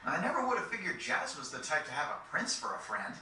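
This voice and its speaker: A cocky teenage game adventurer, talks plainly and casually in a high, piercingly bright voice. It echoes heavily, as if from a little way off. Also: male voice, wildly swooping pitch, fast talker, full character voice. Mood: decisive, casual. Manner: casual, slangy, incredulous. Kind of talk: video game voice acting. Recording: very echoey, distant game capture with noise.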